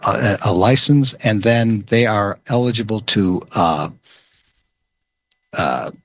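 Halting male presenter, low voice, dry close sound. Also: no echo. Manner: halting, many pauses and restarts. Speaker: program presenter at a public meeting. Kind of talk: informal briefing.

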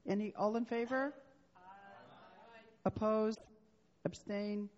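A woman chairing a club business meeting, brisk and procedural, with a loud voice. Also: mid-range voice, steady tone. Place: meeting room. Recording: muffled echoey hall sound.

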